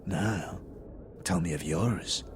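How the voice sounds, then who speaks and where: A man's voice: sits low, slow. A man voicing a proud, scarred alien soldier who speaks in grave, formal, archaic phrases in a fantasy roleplay. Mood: solemn, reverent. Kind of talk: tabletop-style fantasy roleplay. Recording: faint background noise.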